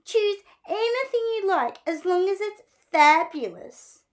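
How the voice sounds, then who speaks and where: A woman's voice: very high-pitched, sing-song, measured pace, voice pushed up, exaggerated voice. A woman voicing an eager, insecure young female bird in a children's storybook, hesitant and wistful.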